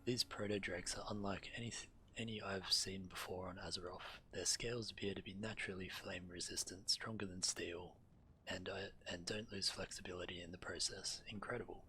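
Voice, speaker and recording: Very deep, bright-voiced male game streamer. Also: steady tone, brisk, a little hiss or hum, poor audio. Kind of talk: reading quest text aloud during gameplay stream.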